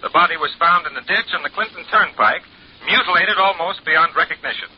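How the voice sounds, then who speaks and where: A man plays a brisk 1940s radio newsreader. He reads quickly in a high voice. The old recording is muffled with faint hiss. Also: lightly acted. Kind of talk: 1940s news bulletin acting.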